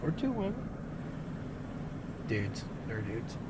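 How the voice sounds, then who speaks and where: A man filming from inside a car, joking in an excitable, profane way and swinging between loud outbursts and low mutters. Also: slow pace. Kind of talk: casual joking commentary. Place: inside a vehicle. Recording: noisy car cabin recording.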